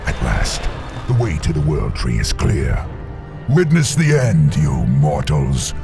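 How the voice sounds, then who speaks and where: A huge demon villain for a fantasy game. His gravelly voice is extremely low and swoops dramatically. He gloats with grandiose menace over background music. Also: wildly swooping pitch, exaggerated voice. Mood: gloating menace. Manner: menacing, grandiose, drawn-out delivery.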